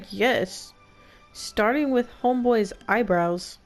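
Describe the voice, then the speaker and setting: Slow-talking, wry woman streamer. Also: fairly high pitch, faint music behind. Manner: wry, sarcastic, slangy. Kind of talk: casual gameplay commentary.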